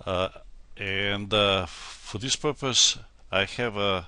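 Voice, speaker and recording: A man with a Slovenian accent, a native Slovenian speaker, giving an unscripted software tutorial in a halting, hesitant way. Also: on the low side, smooth, no echo. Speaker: translator demonstrating software.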